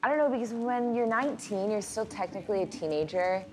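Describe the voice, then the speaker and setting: A woman's voice: medium pitch, fast talker, background noise. A woman of twenty, a student, introducing herself in a self-deprecating way. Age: 20s.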